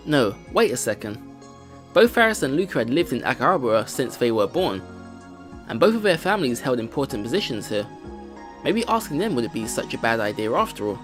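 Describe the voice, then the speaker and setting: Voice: medium pitch, sing-song, brisk. A let's play host reading a visual novel's first-person narration aloud, with game music behind.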